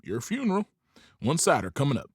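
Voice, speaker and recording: An actor playing a gruff, guarded Old West saloon owner with a husky voice and curt, folksy, needling talk. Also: male voice, medium pitch, wildly swooping pitch, a little fast, a little hiss or hum. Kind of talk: audio drama acting.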